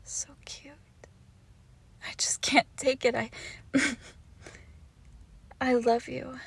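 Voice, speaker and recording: Female performer as clingy, doting witch for ASMR audio drama; unhurried, husky delivery with whispered tenderness. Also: sits high, level tone, full character voice. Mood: adoring, smitten. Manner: soft, breathy, often slipping into whispers, tender cooing. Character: lovesick possessive forest witch, doting, lonely, clingy. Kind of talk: intimate ASMR roleplay, scripted monologue.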